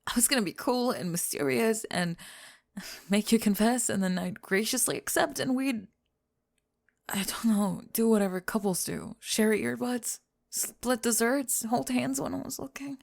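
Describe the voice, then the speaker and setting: A woman voicing a young goth bully girl in an ASMR roleplay, halting and defensive as she hides a crush. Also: a little high. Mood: flustered, exasperated. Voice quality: breathy. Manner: tsundere, defensive, halting, backtracking.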